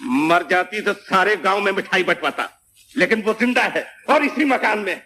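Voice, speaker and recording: A high, sharp-voiced blustering rich patriarch. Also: male voice, expressive, normal speed. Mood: expansive, exclaiming. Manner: pompous, exclamatory, flowery. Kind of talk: 1950s Hindi film comedy acting. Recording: noisy old film soundtrack.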